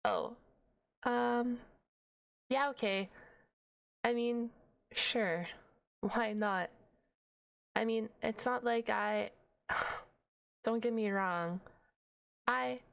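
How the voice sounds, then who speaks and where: A woman's voice: fairly high pitch, drawn-out words, gravelly, long pauses, fairly bright tone, clear, resonant tone, full character voice. A woman voicing a shy, nerdy, flustered half-dragon librarian in an ASMR roleplay, hesitant and rambling with awkward humour. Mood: flustered, bashful.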